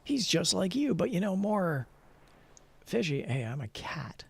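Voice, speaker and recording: A man's voice: high voice, very animated, measured pace, gravelly, slightly rough. A man streaming a game, switching between playful chatter and theatrical story read-aloud.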